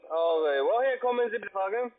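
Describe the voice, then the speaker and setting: A man's voice: high-pitched, animated, normal speed. A man who is not a native German speaker, making a cold call by phone. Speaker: cold caller. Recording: noisy clicking narrow phone line.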